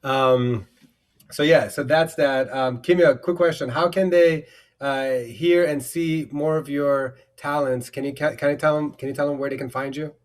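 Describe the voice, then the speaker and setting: A man's voice: fairly high pitch, fairly even intonation, moderate pace. Rambling effusive male stream host. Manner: effusive, rambling with many fillers and restarts. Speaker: livestream host. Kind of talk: casual livestream chat.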